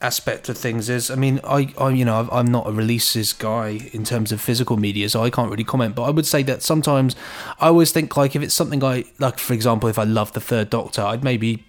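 A man's voice: mid-range voice, fast talker, hardly any pauses. Chatty man co-hosting a podcast. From England. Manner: chatty, jokey. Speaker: podcast co-host. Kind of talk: casual podcast chat and reading listener emails.

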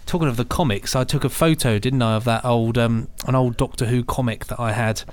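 A man's voice: medium pitch, fairly even intonation, fairly quick, a little mellow, dry recording. A man with a British accent co-hosting a podcast in casual banter. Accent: British English. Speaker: podcast co-host.

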